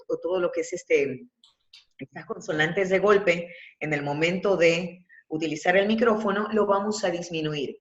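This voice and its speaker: Echoey, muffled low-voiced Ecuadorian woman teacher. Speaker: university professor and voice coach. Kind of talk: teaching breathing and vocal exercises in a webinar. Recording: muffled, echoey webinar audio.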